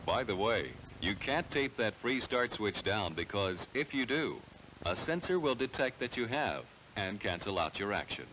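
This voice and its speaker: An American man giving measured, explanatory scripted narration that addresses the listener directly, on a 1970s training film soundtrack. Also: on the low side, big pitch swings, a bit bright, a little hiss or hum, low-quality recording.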